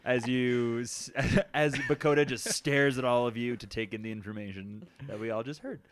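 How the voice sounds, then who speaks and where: A man's voice: mid-range voice, normal speed. A man running a tabletop game as game master, casual and playful. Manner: playful, joking.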